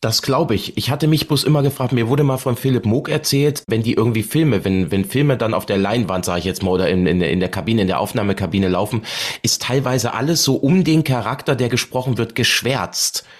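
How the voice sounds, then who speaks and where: A man's voice: medium pitch, fairly quick. A man hosting a casual podcast interview, chatty and often affirming what he hears.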